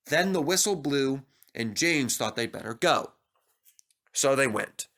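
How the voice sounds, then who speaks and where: Very animated male children's storyteller. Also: mid-range voice, measured pace. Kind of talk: scripted children's story narration.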